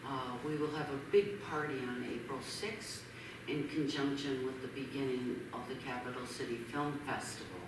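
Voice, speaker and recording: A middle-aged woman speaks at a gallery event, hesitant and reading from notes with many ums. Her voice comes from across a very echoey hall. Steady room noise sits under it. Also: a bass voice, moderate pace. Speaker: curator introducing an artist. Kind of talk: gallery introduction, partly read aloud. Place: large gallery hall, indoors. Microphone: handheld mic heard from far off. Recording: echoey hall recorded from far off, background noise.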